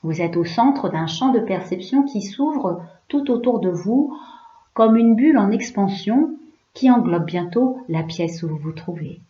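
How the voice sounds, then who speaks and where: A woman yoga teacher leading a guided relaxation in a hushed, soothing, unhurried way, leaving pauses between short phrases. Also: medium pitch, animated. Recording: roomy, slightly dull recording.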